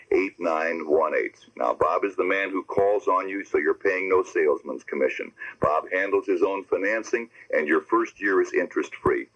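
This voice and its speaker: A man's voice: deep voice, a bit bright. A man giving a personal, conversational advertising read on the radio. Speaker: radio pitchman. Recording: dull old radio recording.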